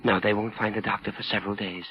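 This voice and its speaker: An actor playing a brooding, obsessive killer escaped from an asylum, speaking deliberately and repeating words, in 1940s radio suspense. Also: male voice, lowish voice, level tone, highs cut off, crowd noise, close to an everyday voice. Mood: cold, matter-of-fact.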